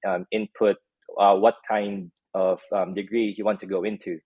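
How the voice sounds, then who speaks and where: A man's voice: on the low side, flat delivery, normal speed, husky, no room sound, phone-quality sound. A man from the Philippines, a startup co-founder telling his story as a guest in a casual interview, with many "um" fillers. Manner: many "um" fillers, laughs while telling stories.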